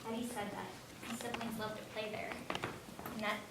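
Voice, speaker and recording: A woman's voice: on the high side, steady tone, moderate pace, lightly acted. An actress playing an earnest, nervous adoptee woman who tells her story in a stage play. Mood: earnest recounting. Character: nervous young adoptee woman searching for her birth mother. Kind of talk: stage play monologue and dialogue. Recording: echoey stage, distant mic, noisy.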